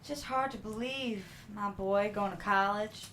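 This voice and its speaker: A woman's voice: mid-range voice, a little slow, noisy background, close to an everyday voice. A woman acting a loving, tough country mother in a live screenplay table read. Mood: proud, wistful.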